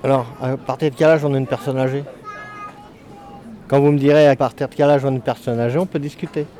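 A man's voice: mid-range voice, little pitch movement, fast talker, dark tone. A man answering a street interview emphatically, repeating his point. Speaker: passerby interviewed.

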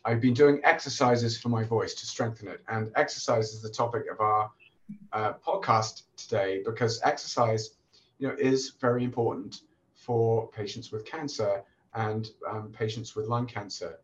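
A man, hoarse from a recent illness, hosting a podcast interview in a relaxed way with many fillers and restarts. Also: lowish voice, moderate pace, muffled recording. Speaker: podcast host and oncologist.

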